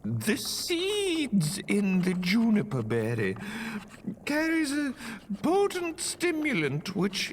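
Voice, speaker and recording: A man's voice: fairly high pitch, gravelly, exaggerated voice. A man playing a stern, exacting potions professor at a magic academy, pedantic and scolding, in a scripted fantasy audio roleplay. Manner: pedantic, scolding lecturer with deliberate phrasing. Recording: long hall reverb, faint noise.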